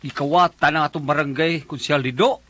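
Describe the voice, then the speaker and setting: A man's voice: a little high, fairly quick, sharp tone. A man with a Cebuano Filipino accent playing a blunt, indignant village captain asking pointed questions in a comedic radio drama. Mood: complaining.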